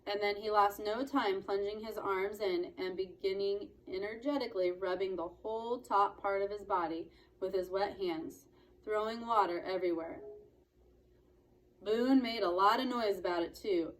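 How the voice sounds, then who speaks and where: A woman narrating a children's book expressively, with deliberate, dramatic phrasing. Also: mid-range voice, low-quality recording. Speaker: read-aloud storyteller.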